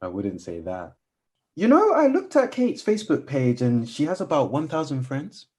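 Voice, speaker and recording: A man's voice: fairly high pitch, wildly swooping pitch, moderate pace, darkish tone. A man playing an earnest, guarded best friend in a radio play, sometimes hesitant. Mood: amused, fond. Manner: earnest, hesitant, evasive when pressed.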